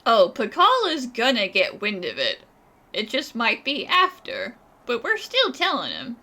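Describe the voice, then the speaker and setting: A woman reacting casually to a game, with a bright, high voice. Her delivery swings around expressively as she teases and snarks in slangy remarks. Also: huge pitch swings, unhurried. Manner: sarcastic, teasing, slangy. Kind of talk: casual gaming commentary.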